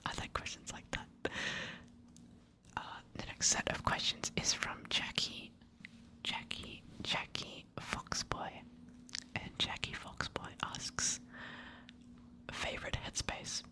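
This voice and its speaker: An ASMR creator whispering answers to subscriber questions in a soft, hesitant, apologetic way. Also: slow, pauses often.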